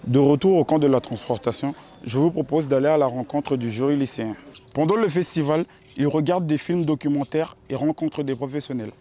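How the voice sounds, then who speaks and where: A man hosting a festival program, presenting scripted announcements in a measured way. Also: a little high, dark tone. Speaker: host.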